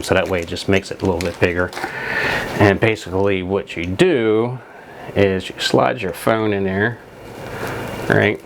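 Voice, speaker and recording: Man, deep voice, stuffy nose, rambling gear demo, steady hiss behind. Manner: rambling, filler-heavy, restarts. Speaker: photography vlogger. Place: home studio room with monitors.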